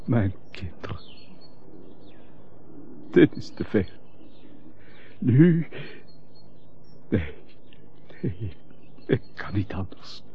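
An actor playing a wounded, noble husband and father in a radio melodrama, speaking in a grave, halting, declamatory way. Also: lowish voice, very animated, slightly rough, heavily acted. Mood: overcome, forgiving. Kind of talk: theatrical radio drama acting. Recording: dull radio play recording with background noise.

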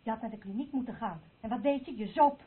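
A woman's voice: fairly high pitch, fast talker, husky. A woman playing a weary, scolding daughter in a radio play, blunt and exasperated. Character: Selma, weary, scolding daughter looking after a drunkard. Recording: tinny, noisy old radio recording.

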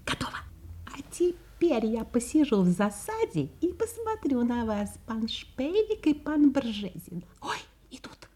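A cunning, self-satisfied vixen from a children's audio play. Her voice sits high and glides freely up and down. She takes her time, muttering craftily to herself in a singsong way. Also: very animated, unhurried, warm tone, husky, exaggerated voice. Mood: scheming. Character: sly, vain, clever female fox of storybook.

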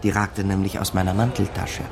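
A smooth small-time swindler in a crime radio play. His voice sits low and sounds conspiratorial and wry. Clear background ambience runs behind him. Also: flat delivery, a little fast, noisy background. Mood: wry, scheming. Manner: conspiratorial, dryly wry asides. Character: smooth small-time con man narrating his own schemes.

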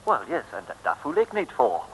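An actor playing a stuffy English gentleman who drops English exclamations into his Dutch, in a 1950s radio comedy. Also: medium pitch, huge pitch swings, brisk, husky, heavily acted. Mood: fussy. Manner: clipped, fussy exclamations. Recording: old radio recording, long reverb.

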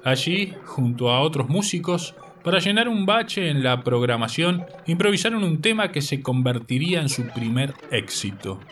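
A man's voice: on the high side, animated, moderate pace, hardly any pauses, fairly bright tone, distant mic, soft background music. A man reading scripted narration as host of a radio segment. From Uruguay.

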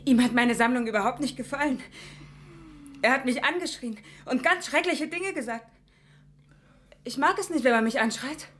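An actress in a radio play playing an unhinged, possessive murderess, taunting and dramatic, turning from sweet to venomous. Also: female voice, sits high, moderate pace, long pauses, fairly bright tone, slight background noise. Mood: coldly matter-of-fact. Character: unhinged murderess, possessive, mocking, chillingly sweet about killing.

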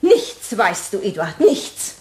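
Haughty nagging wife in a radio play, slow swoopy delivery, reproachful, echoey. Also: female voice, medium pitch, wildly swooping pitch, darkish tone. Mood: indignant, scolding. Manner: reproachful, emphatic. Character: scolding, indignant, haughty wife of the boss. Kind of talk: German radio play acting.

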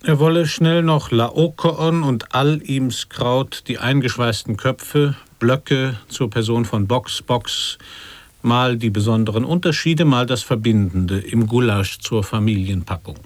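An actor playing a man who earnestly ponders absurd riddles in a radio play, deliberate and mock-scholarly. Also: medium pitch, no echo, lightly acted. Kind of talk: avant-garde radio play recitation.

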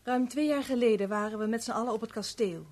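A woman's voice: fairly high pitch, quick pace, a little mellow, close to an everyday voice. An actress playing a reserved, guarded young woman who speaks tersely in a 1970s radio drama. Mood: reluctant, subdued. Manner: terse, reticent answers.

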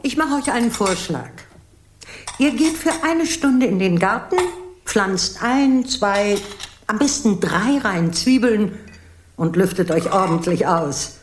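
An actress playing a doting old grandmother in a children's radio play, fond and gently ironic. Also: mid-range voice, animated, takes it slowly, slight background noise. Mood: coaxing. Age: older.

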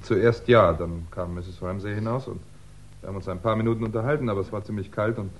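An actor as a brisk, low-voiced witness. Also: male voice, steady tone, background noise, close to an everyday voice. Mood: matter-of-fact. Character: cooperative male witness, matter-of-fact. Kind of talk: 1960s German radio crime drama acting. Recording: dull 1960s radio recording.

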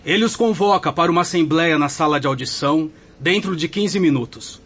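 A Brazilian man acting in a radio drama as a polite, brisk hospital messenger. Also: a little high, fairly bright tone, breathy edge. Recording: slightly muffled radio drama recording.

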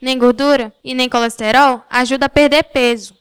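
Radio soap casting: female amateur as sensible advice-giving schoolgirl, high voice, northeastern Brazilian accent, recited delivery. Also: fairly even intonation, moderate pace, clear, resonant tone, close to an everyday voice. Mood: advising.

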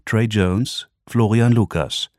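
A man's voice: on the low side, slow pace, a little mellow, no echo, studio quality. A man reading scripted radio drama credits as an announcer.

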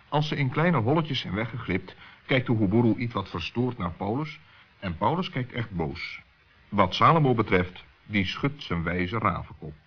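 A man's voice: fairly low pitch, slightly rough. A man narrating a scripted children's story as a radio play narrator. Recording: dull old radio recording, music behind. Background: music.

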